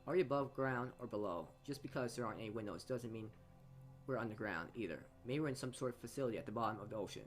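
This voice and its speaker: A man's voice: medium pitch, fast, faint music behind. A man reading a story game aloud in informal narration, often stumbling and going back over his words. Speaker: player reading a visual novel aloud.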